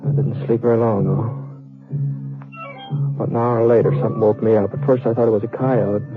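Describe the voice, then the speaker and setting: An actor playing an easygoing American cowhand who tells his trail story in a halting, folksy way, in a 1950s radio western. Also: lowish voice, level tone, phone-quality sound, close to an everyday voice. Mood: quiet, measured. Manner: folksy, halting, repeats words. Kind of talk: 1950s radio western acting, first-person narration. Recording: old band-limited radio recording with music.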